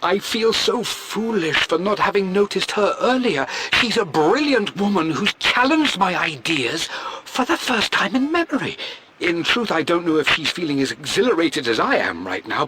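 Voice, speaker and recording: A man's voice: a little high, background noise. An actor playing a refined, introspective English scholar with an English accent, musing theatrically as he records a journal in a video game. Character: scholarly English gentleman, obsessive researcher, smitten and introspective.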